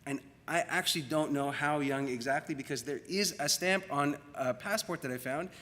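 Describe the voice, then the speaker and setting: Bright-voiced Canadian man lecturing in an echoey hall. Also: fairly high pitch. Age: middle-aged. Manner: reflective, rhetorical questions and deliberate repetition. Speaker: university lecturer in media studies. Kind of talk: public academic lecture. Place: large room at a university colloquium. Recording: echoey hall with long reverb.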